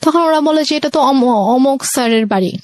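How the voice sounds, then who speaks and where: A young woman with a Bangladeshi accent telling her personal story as a guest, conversationally and with hesitant pauses. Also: high-pitched, a little mellow. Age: young adult.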